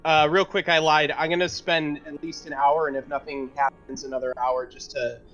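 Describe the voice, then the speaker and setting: A man's voice: a little high, level tone, moderate pace, a bit bright. A man talking casually as a player in a tabletop roleplaying game. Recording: dull lo-fi sound, music behind.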